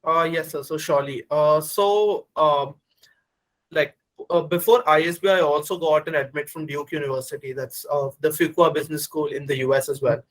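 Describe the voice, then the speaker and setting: A man's voice: a little high, fairly even intonation, moderate pace, fairly bright tone, rough recording. A young Indian man answering a host's question in a webinar, polite and hesitant, calling him "sir". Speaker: admitted MBA student guest. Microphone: video call.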